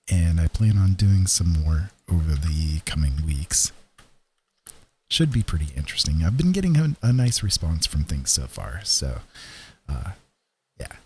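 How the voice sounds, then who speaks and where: A man chatting casually with live stream viewers in an easygoing, rambling way, halting with frequent pauses. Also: low voice, a little mellow, slight background noise. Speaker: live streamer, digital painter and storyteller.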